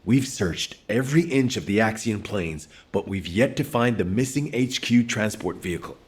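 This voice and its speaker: An actor playing a serious, weary executive officer in a video game, speaking with terse authority. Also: male voice, on the low side, lively intonation, normal speed, lightly acted. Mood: weary, worried. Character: grave, weary military executive officer under pressure. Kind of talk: video game dramatic acting.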